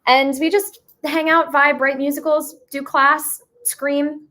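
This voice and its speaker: A woman's voice: high-pitched, little pitch movement, slow, pushed and bright. A young woman chatting casually as a musical theater writer being interviewed, giggly and rambling. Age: young adult. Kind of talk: casual chat.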